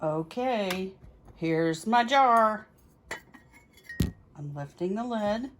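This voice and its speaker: A woman's voice: medium pitch, lively intonation, drawn-out words, a little hiss or hum. A woman talking casually as a tarot reader, explaining things informally and thinking aloud as she goes. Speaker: tarot reader hosting a practice series. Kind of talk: casual explanatory vlog talk.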